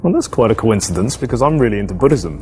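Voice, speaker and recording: A man's voice: fairly low pitch, expressive, fast talker, a little mellow, breathy edge, soft background music. An actor playing an eager, chatty man on a date in a dating game, talking in a casual, slightly hesitant way. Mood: earnest, flirting. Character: eager, chatty male dater making small talk on a date.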